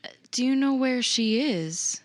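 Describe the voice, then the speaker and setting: A woman's voice: high-pitched, lively intonation, measured pace. A woman playing a tabletop game, talking casually in conversation as her character.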